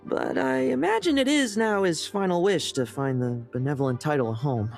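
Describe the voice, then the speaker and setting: A woman playing a sly, theatrical young female knight, a smooth-talking schemer in a video game. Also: on the low side, expressive, normal speed, darkish tone, faint music behind. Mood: grandiose, sly. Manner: theatrical, coaxing.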